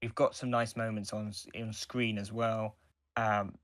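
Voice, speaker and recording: A man co-hosting a casual podcast discussion, explaining in a halting, rambling way with restarts. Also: fairly low pitch, little pitch movement, a bit bright, no echo, studio quality. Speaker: podcast co-host.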